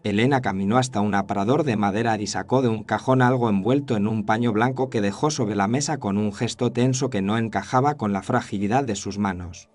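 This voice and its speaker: A man performs a haunted cameraman telling his own horror tale. His voice sits fairly low, and he narrates in a solemn, measured way heavy with regret. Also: a little mellow, close to an everyday voice. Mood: ominous, grave. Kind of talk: first-person scripted horror narration.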